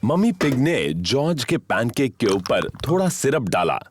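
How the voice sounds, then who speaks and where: A man playing a friendly narrator of a children's cartoon, explaining the action in an expressive, storybook manner. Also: mid-range voice, unhurried, slight background noise. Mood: cheerful.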